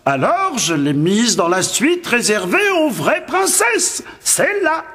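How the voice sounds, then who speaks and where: A man playing a fussy, good-natured and hesitant king in an animated fairy tale. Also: very high voice, slow, slightly rough, exaggerated voice. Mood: eager, fussy. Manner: hesitant, dithering, mildly grumbling.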